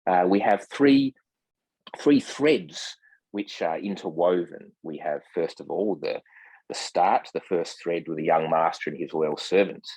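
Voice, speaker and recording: A man speaking as a guest translator in a scholarly discussion, formal in wording, with hesitant, measured phrasing full of ums. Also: low voice, darkish tone, slightly rough, no echo, poor audio. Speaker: literary translator, podcast guest.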